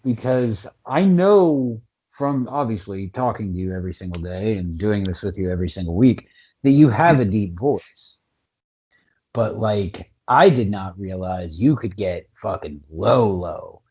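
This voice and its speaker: A man co-hosting a podcast in casual chat, excitable and swearing constantly. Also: fairly low pitch, unhurried, breathy edge. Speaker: podcast co-host.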